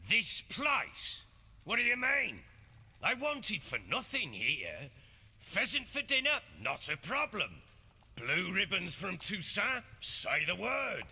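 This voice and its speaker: An actor playing a blustering middle-aged warlord baron in a video game, with a gruff, husky voice and a Northern English accent. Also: male voice, sits high, huge pitch swings, slow, sharp tone, full character voice. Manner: blustering, emphatic. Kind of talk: video game character acting. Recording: hall reverb, music underneath.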